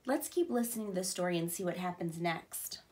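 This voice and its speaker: A woman's voice: fairly low pitch, fairly quick, darkish tone, a little hiss or hum. A woman in her thirties reading aloud like a teacher to young children, explaining simply and expressively. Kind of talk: children's read-aloud with questions and commentary. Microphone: camera a short distance away.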